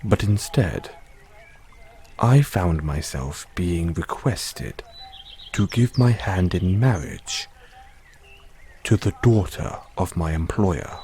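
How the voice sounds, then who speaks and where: A man's voice: deep voice, mellow, a little hiss or hum, full character voice. A man playing a devoted, formal butler with a painful past, speaking solemnly and deferentially in a scripted audio roleplay.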